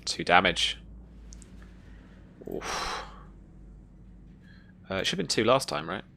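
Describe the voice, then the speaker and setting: Low, creaky-voiced game master. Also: sing-song, slow pace, pushed and bright, a little hiss or hum. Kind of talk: narrating a tabletop role-playing game.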